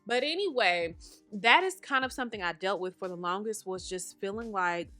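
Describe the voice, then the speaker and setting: A woman in her thirties hosts a podcast from home, chatting casually and calling her listeners honey and baby. Her voice is bright, with a slightly nasal, congested edge today. Faint music plays softly behind her. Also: medium pitch, expressive, moderate pace, no echo. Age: 30s. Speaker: podcast host.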